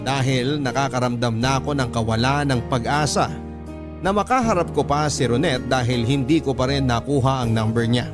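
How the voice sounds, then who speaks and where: A man narrating a dramatic first-person story for radio as a scripted storyteller. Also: mid-range voice. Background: background music.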